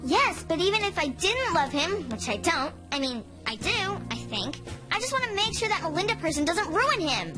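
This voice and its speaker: A woman's voice: very high-pitched, very animated, moderate pace, over loud music. A woman voicing a dramatic, lovestruck, jealous preteen girl in a cartoon, sulky and impetuous. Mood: agonized. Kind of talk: animated cartoon voice acting.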